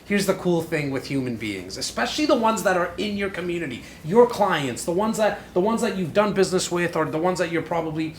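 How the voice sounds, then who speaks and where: Male co-host, 40s, from Canada; blunt, emphatic delivery, recorded in an echoey room with faint rumble. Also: animated, brisk, hardly any pauses. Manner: blunt, emphatic, swears freely. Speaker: podcast co-host. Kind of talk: casual chat.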